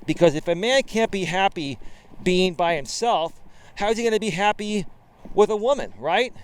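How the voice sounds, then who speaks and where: A man's voice: high-pitched, clean tone. A man in his late forties, a dating coach, talking while he walks outdoors on a trail and keeps asking "right?" to drive his points home. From Bay Area, California. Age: 40s. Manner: emphatic, keeps tagging "right?". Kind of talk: walking vlog monologue.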